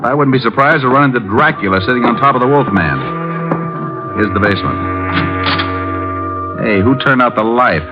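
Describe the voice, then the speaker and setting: An actor playing a sardonic, flirtatious hard-boiled private eye in a vintage radio detective drama. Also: male voice, medium pitch, expressive, over loud music, lightly acted. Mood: cool, determined. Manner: sardonic, brisk quips. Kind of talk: 1940s radio detective drama acting and narration. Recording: muffled old radio recording.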